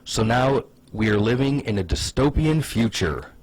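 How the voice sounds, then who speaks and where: A man hosting a casual comedic commentary video, pitching ideas in a wry, sarcastic, opinionated way. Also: animated, normal speed, a little mellow.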